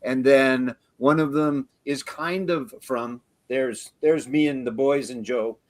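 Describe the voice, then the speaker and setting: A Canadian man hosting a show, chatting casually in a relaxed, rambling way. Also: medium pitch, slow, dry recording. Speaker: show host. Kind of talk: casual chat.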